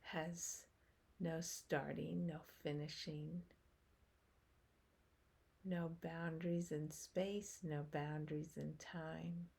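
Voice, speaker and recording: A woman guiding a meditation as a teacher, speaking in short phrases with long pauses and hushed endings. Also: low-pitched, little pitch movement, creaky, husky. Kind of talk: guided meditation, slow spoken instruction.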